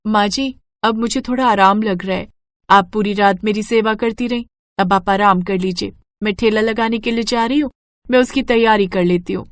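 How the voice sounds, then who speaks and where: Woman playing young daughter-in-law, dutiful, earnest, middle pitch, dry sound, cartoon moral tale. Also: normal speed, dry recording, natural voice. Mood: dutiful, concerned. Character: young, dutiful, hardworking daughter-in-law selling street food.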